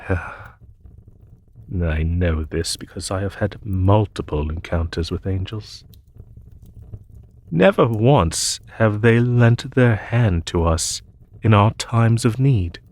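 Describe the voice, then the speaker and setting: A man playing a noble, weary, peace-seeking demon emperor in a fantasy audio roleplay, speaking in a formal, measured way. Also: on the low side, darkish tone. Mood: grave, disdainful. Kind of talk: fantasy audio roleplay, spoken softly to one listener.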